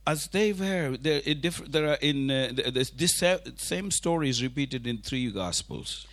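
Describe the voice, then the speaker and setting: A man whose first language is Tamil, preaching a sermon in English in a church, speaking in halting phrases with restarts for an interpreter. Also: mid-range voice, lively intonation, a bit bright. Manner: halting, restarts, rising intensity.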